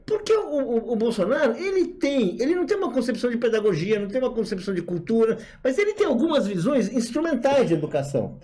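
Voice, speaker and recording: A man's voice: extremely high pitch, sing-song, fast talker, few pauses, dark tone. A man giving political commentary, speaking analytically and laughing lightly as he makes his points.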